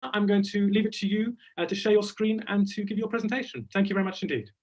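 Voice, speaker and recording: A woman's voice: medium pitch, quick pace, darkish tone. A woman hosting a webinar over an online call, politely handing over to a speaker. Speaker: webinar host. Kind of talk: polite introduction and handover.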